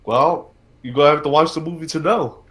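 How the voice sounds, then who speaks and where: A man with a slightly high voice chats as a podcast co-host. His delivery is casual and conversational, like informal talk between friends. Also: fairly quick.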